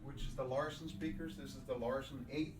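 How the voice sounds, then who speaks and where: Guy pitching gear informally, mid-range voice, roomy echo, bit far from the mic. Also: fairly even intonation, brisk. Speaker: audio distributor presenting a demo. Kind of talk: informal product presentation. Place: small demo room at an audio show. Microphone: binaural microphone at a distance. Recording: echoey room, distant from mic.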